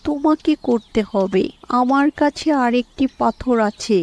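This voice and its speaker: A woman as a helpful, high-voiced fairy guide. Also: unhurried, darkish tone. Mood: calm instruction. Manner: explaining, instructing.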